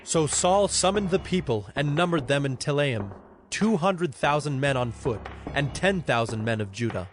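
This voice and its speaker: A man's voice: a little high, expressive, moderate pace, a little hiss or hum. A man narrating a dramatized audio Bible in a formal storytelling style.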